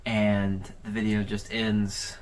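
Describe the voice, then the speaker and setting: Man commentating casually over clear background noise. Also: fairly low pitch, completely flat delivery, slow. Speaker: host of a horror video overview channel.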